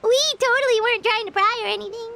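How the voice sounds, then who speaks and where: Very squeaky, excitable little fairy girl. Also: fast talker, gravelly, very noisy. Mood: nervous. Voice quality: squeaky, childlike. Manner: exclamatory, refers to self in third person. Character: small, excitable, food-loving girl fairy companion. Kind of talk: animated video game dialogue. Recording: music behind the voice.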